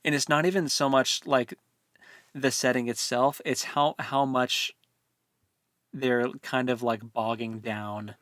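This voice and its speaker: A man's voice: mid-range voice, fairly even intonation, takes it slowly, a bit bright, no echo. Dry-recorded man in casual book chat. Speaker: co-host of a book discussion podcast.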